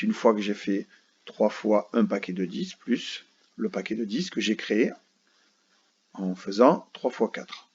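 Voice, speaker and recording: Patient man explaining math, husky low voice. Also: darkish tone. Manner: patient, explains step by step, keeps asking "d'accord?". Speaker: primary school teacher. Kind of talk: recorded math lesson for children. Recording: dull lo-fi recording.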